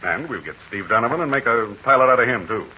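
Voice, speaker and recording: An actor playing a calm, fatherly heroic aviator in a 1940s radio serial, speaking in measured, assured tones. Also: mid-range voice, husky, heavily acted. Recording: dull scratchy 1940 radio transcription.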